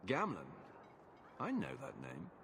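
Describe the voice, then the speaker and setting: A blunt, weary guard captain. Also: male voice, mid-range voice, wildly swooping pitch, slow pace, gravelly, a bit bright, a little hiss or hum, heavily acted. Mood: stern. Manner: curt, firm. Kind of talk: video game cutscene acting.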